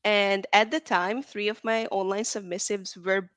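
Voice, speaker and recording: A woman's voice: mid-range voice, fairly even intonation, unhurried, fairly bright tone, dry recording. A woman from Hungary, chatting casually as an interview guest, full of likes and ums. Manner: chatty, frequent "like" and "um".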